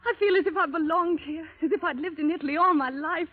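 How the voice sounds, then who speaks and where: An actress playing an eager, coaxing, childlike young wife in vintage radio drama style, with breathless, gushing delivery. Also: female voice, extremely high pitch, quick pace. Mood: tender, happy. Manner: breathless pleading, exclaiming and gushing. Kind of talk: 1930s-40s radio drama acting. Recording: muffled, band-limited vintage radio recording.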